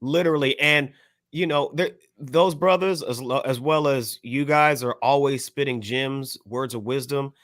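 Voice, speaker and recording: A man's voice: mid-range voice, a little slow, a bit bright, no echo, studio quality. A man co-hosting a podcast in casual, slangy banter, often repeating phrases for emphasis. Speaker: podcast co-host.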